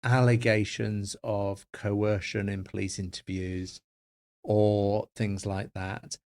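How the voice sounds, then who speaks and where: A man's voice: lowish voice, flat delivery, slow, a little mellow. A middle-aged man co-hosting a podcast on forensic linguistics, speaking thoughtfully and often repeating words as he works out his points. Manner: hesitant, thoughtful, repeats words while thinking. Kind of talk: academic podcast discussion.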